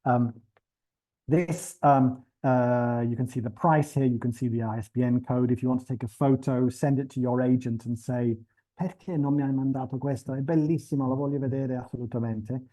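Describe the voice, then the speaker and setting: A man giving an unscripted webinar presentation for teachers, pausing often with fillers and restarting his sentences. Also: medium pitch, steady tone, dark tone, low-quality recording. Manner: hesitant, many fillers and restarts.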